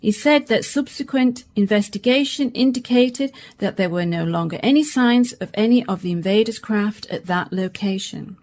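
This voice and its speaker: A woman playing a news reporter and newsreader in a radio play, with a clear broadcast delivery. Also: on the high side, a little mellow, close to an everyday voice.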